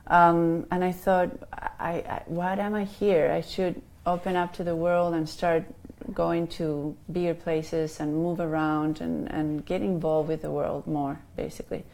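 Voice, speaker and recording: Low gravelly Chilean woman. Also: little pitch movement, unhurried, a little mellow. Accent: Chilean Spanish. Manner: hesitant, many ums and restarts. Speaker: life coach. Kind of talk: interview answers.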